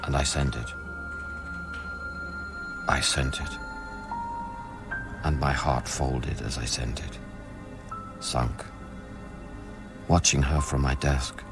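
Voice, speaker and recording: Radio play lead: brooding, haunted scientist, extremely deep voice, measured and intimate. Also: male voice, vocal fry, heavily acted. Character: brooding, haunted man of science, tender yet irritable. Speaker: lead actor and first-person narrator. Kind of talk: radio play, intimate literary narration and dialogue.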